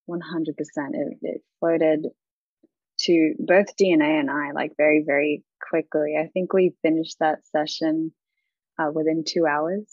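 A woman's voice: deep voice, fairly even intonation, a little slow, a little mellow, no room sound, muffled recording. A young woman with an Australian accent, a singer chatting in an interview, bubbly and rambling with lots of giggles.

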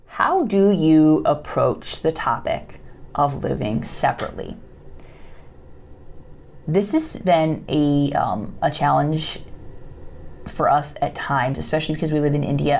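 A woman's voice: deep voice, creaky, a little mellow, slight background noise. A woman, hosting a podcast and casually answering listener questions, with lots of fillers and restarts. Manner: conversational, many fillers and restarts. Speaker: podcast host.

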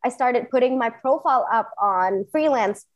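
A woman in her thirties who grew up in Singapore speaks as an interview guest, recounting her own path with earnest enthusiasm. Her voice sits a little high, and she leans on emphatic repetition as she tells her story. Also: expressive, normal speed, muffled recording. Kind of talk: personal storytelling in conversation.

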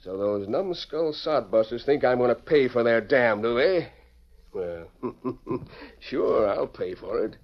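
An actor playing a blustering, bullying crooked land promoter in an old western radio drama. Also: male voice, medium pitch, expressive, slow. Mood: menacing, scheming. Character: blustering crooked land promoter, a man, quick-tempered boss. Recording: muffled old lo-fi broadcast recording.

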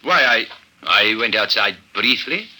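A man's voice: lowish voice, expressive, unhurried, sharp tone, heavily acted. A man playing an uneasy, indignant suspect in an old radio drama, hesitant and affronted. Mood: defensive, indignant. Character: uneasy, indignant male climber under suspicion.

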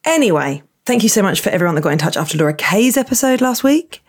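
A woman hosting her podcast from a small box room at home, chatty and croaky in the early morning. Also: medium pitch, big pitch swings, fast. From England. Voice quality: husky. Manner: chatty, warm thanks and asides. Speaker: podcast host. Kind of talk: casual podcast intro.

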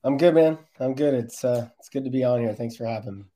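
A man's voice: mid-range voice, fast, warm tone, slight background noise. A man who coaches soccer, answering interview questions in a conversational, explanatory way.

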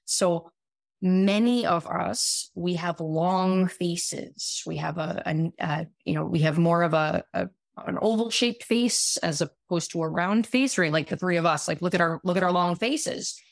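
A woman in her thirties with a slightly raspy voice talks through ideas on a podcast, circling back with fillers and restarts as she explains. Her voice sits on the low side, recorded close and dry. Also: measured pace, no echo. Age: 30s. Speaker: guest physician. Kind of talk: casual podcast conversation.

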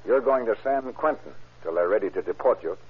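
Unflappable, sardonic male sergeant, lowish voice with lively swings, vintage radio crime drama. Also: brisk, husky. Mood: triumphant, stern. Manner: dry, sardonic questioning. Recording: tinny, muffled old radio recording.

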